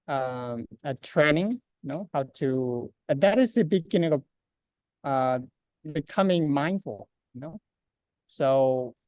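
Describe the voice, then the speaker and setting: A man introducing a monastic class, speaking haltingly with many fillers. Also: a little high, darkish tone, clean tone, no echo. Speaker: class organizer. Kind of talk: hesitant spoken introduction in English.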